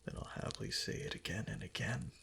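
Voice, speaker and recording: A man playing an obsessively devoted kitsune husband in an intimate romantic ASMR roleplay, speaking softly and hesitantly in a breathy, often whispered voice close to the mic. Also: quick pace, slight background noise, close to an everyday voice. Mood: possessive, obsessive. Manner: soft, hesitant, clingy pillow talk. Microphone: close ASMR microphone.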